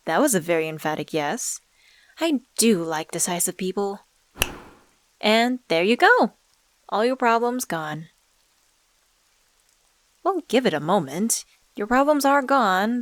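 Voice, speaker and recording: A woman voicing an enigmatic supernatural dealmaker in an ASMR audio drama, coaxing and teasing in a deliberate, smooth-talking way. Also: medium pitch, no room sound, close to an everyday voice. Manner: coaxing, teasing, deliberate, calls listener sweetheart. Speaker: voice actor in a scripted audio drama.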